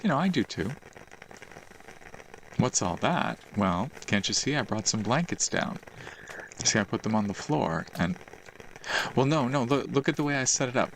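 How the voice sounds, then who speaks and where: A man playing an affectionate, easygoing boyfriend in an intimate roleplay monologue, talking gently and conversationally with a creaky voice. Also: on the low side, a little hiss or hum, poor audio. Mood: cozy, affectionate. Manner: gentle, conversational, pausing for unheard replies. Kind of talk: roleplay audio monologue, intimate casual chat.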